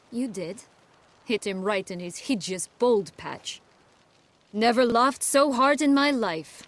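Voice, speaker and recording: A proud, wounded noblewoman in exile from a video game. She speaks slowly in a mid-range voice, with a deliberate, cutting bitterness. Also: mid-range voice, a bit bright. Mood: wry, reminiscing. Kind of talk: video game cutscene acting.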